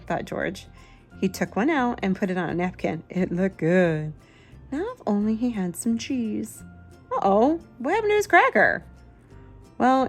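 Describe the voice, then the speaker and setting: Female storyteller for young kids, medium pitch, expressive picture-book delivery, light music underneath. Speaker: children's book reader, channel host. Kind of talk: picture-book read-aloud with asides to a child.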